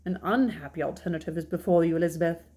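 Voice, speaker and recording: A woman voicing a calm older gentleman father from a classic novel, deadpan, measured and formal. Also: on the low side, lightly acted. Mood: mock stern.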